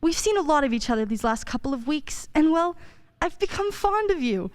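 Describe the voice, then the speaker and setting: Woman acting high-voiced, sensible, skeptical sweetheart. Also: very animated, a little fast. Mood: hurt, reproachful. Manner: earnest reproach. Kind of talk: stage-style radio play acting.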